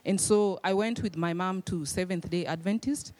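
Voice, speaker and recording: A young woman from Kenya with a Kenyan accent, telling her life story in church in a modest, hesitant way with soft fillers. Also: on the low side, normal speed. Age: young adult. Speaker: guest speaker. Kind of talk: personal testimony. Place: church hall.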